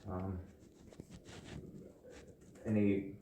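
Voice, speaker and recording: A man's voice: sits low, little pitch movement, extremely slow, phone-quality sound. Hesitant man in an echoey room. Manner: hesitant, polite, reassuring. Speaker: interviewer assessing psychiatric need. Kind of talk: official assessment interview. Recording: echoey room, faint noise and clicks.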